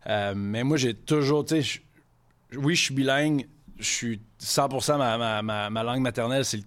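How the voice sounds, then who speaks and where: A man's voice: medium pitch, normal speed. A man from Quebec City, in his thirties, with a Quebec French accent, a chef guest in a casual podcast interview, rambling in franglais with frequent swearing.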